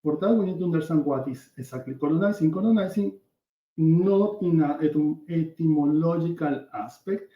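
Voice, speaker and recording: A man from Venezuela with a Venezuelan Spanish accent, a native Spanish speaker, giving an earnest online workshop lecture over a video call, halting with frequent fillers. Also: a little high. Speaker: workshop presenter. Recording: echoey room, dull lo-fi call audio.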